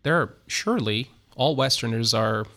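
A middle-aged man with a Turkish accent, a church planter telling his story as an interview guest, speaking haltingly with many fillers and restarts. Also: mid-range voice, fairly bright tone. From Turkey.

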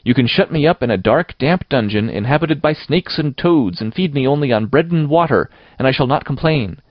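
A man's voice: mid-range voice, lively intonation, brisk, dry recording, muffled recording, pitched above the performer's own voice, lightly acted. A man voicing a passionate, stubborn young girl in a storybook, with a melodramatic, tearful, defiant manner. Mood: dramatic, determined.

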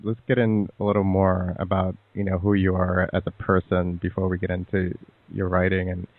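A man's voice: sits low, monotone, normal speed, no room sound. A man hosting a podcast interview, chatting casually in a giggly, teasing way, with many ums. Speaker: podcast host. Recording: dry, tinny phone-like line.

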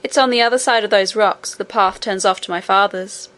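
A woman's voice: medium pitch, a little fast, a bit bright, close to an everyday voice. A woman voicing a confident young storybook miner boy. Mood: reassuring. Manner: plain-spoken, assured. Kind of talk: storybook character reading.

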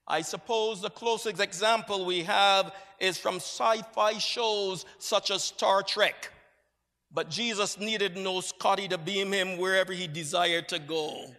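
A man from Barbados preaching a sermon, with measured, reflective storytelling. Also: high-pitched, fairly bright tone. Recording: long hall reverb.

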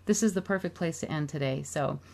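A middle-aged woman talks about her book on a radio interview, earnest and heartfelt. Her voice sits somewhat low for a woman, and she restarts a phrase now and then. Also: fairly quick, creaky, background noise. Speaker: author and musician promoting her book. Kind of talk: casual radio interview chat.

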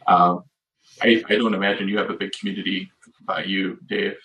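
Podcast co-host, male, deep but bright timbre, meandering conversational delivery. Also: level tone, moderate pace, slight background noise, poor audio. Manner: rambling, many fillers and restarts. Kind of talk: casual chat.

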